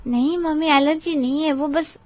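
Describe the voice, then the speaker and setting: A woman's voice: high voice, fairly even intonation, normal speed, darkish tone, dry recording, lightly acted. A woman playing a sweet, pampered young married daughter who mildly protests, in a dramatized family story.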